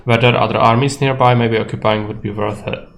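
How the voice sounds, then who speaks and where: A man's voice: mid-range voice, steady tone, fairly quick, vocal fry. A man with a Czech accent casually commenting on his own gameplay as a streamer, musing aloud in a blunt, matter-of-fact way. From Czech Republic.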